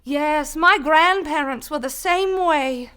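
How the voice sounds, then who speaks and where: A woman's voice: high voice, slow pace, pushed and bright, heavily acted. A woman voicing a prim, tactless young wife of a reporter in a comedic radio play, speaking in a breezy, prim manner. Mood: prim chatter.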